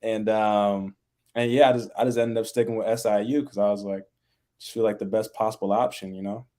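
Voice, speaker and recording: A young man talking casually as a podcast guest, relaxed and full of fillers, raised in the American Midwest. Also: fairly low pitch, brisk. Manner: relaxed, laid-back, many fillers like "like" and "you know". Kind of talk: casual interview chat.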